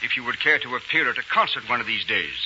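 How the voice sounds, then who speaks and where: An actor playing a formal, courteous royal music director in an old radio drama. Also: male voice, mid-range voice, fast talker, sharp tone. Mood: courteous, interested. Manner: formal, polite. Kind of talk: 1940s radio drama acting. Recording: dull old radio recording, faint noise.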